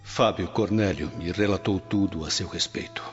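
A man's voice: low voice, normal speed, close to an everyday voice. A man acting a proud, anguished Roman nobleman in a radio drama, speaking formally and emphatically. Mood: grave. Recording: dull lo-fi audio, long reverb, music behind.

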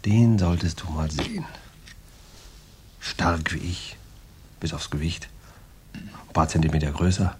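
An actor playing a boastful, tough former heavyweight boxer in a radio crime drama, rambling in slangy, gravelly talk with swagger. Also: male voice, low-pitched, measured pace, long pauses, a little hiss or hum. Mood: proud, boastful. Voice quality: gravelly, husky.

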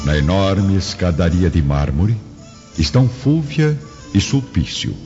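Deep, reverberant male radio drama narrator. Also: very animated, a little slow. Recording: dull lo-fi audio, long reverb, music.

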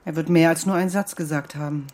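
A woman's voice: low voice, steady tone, fast talker, a little mellow, lightly acted. An actress playing a severe, moralising country woman in a radio play, grave and admonishing, with formal diction. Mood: suspicious. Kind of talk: German radio play acting.

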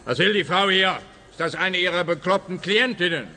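A man's voice: high voice, moderate pace, pushed and bright. An actor playing a grumpy, officious, dim-witted policeman who barks and nitpicks in a comic radio play. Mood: officious, irritated. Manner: officious, barking, pedantic.

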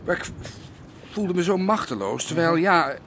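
An actor playing a soft-hearted, earnest policeman, hesitant and searching for words, in a radio drama. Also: fairly high pitch, animated, moderate pace, slight background noise. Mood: troubled, earnest.

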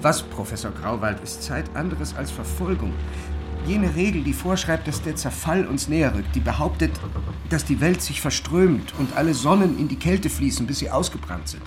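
Actor as an obsessed physicist, raised pitch, halting. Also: male voice, animated, lightly acted. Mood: fervent, obsessed. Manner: intense, halting, rambling, visionary monologue. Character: obsessed male physicist lecturing feverishly on time and decay. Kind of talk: radio drama acting. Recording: music behind the voice. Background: music.

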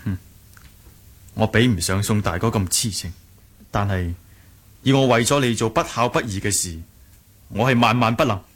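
Earnest young hero, low husky voice. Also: male voice, normal speed, pauses often. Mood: jealous, sulky. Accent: Hong Kong Cantonese. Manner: earnest, emphatic pleading. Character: earnest young martial-arts hero, devoted but defensive fiancé. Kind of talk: Cantonese radio drama acting.

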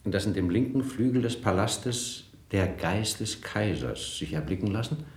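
An actor playing a deferential royal courtier in a classical radio drama, formal and a little hesitant. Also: male voice, lowish voice, animated, moderate pace, a little mellow, close to an everyday voice. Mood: awed, solemn. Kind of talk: classical 1950s German stage-style radio acting. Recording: echoey room.

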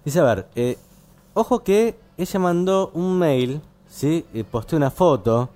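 A man with a Buenos Aires Argentine accent hosting casual late-night radio, explaining things in a halting, rambling way. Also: on the high side, expressive, mellow. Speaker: radio host.

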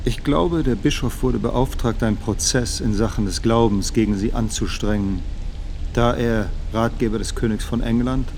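An actor playing a careful middle-aged theology professor giving formal, measured testimony in a radio drama. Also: male voice, medium pitch, darkish tone, a little hiss or hum, lightly acted. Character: guarded, careful 56-year-old theology professor testifying as witness.

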